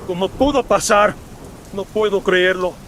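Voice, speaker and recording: A man playing a desperate colonial gentleman father in a horror radio drama, theatrical and anguished. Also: sits high, unhurried, slight background noise, voice pushed up. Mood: anguished, despairing.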